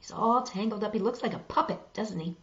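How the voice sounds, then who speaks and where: A woman reading a picture book aloud to children, expressive and dramatic, pausing for asides to show the pictures. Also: mid-range voice. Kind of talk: animated children's story reading with asides to viewers. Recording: roomy, dull lo-fi web video.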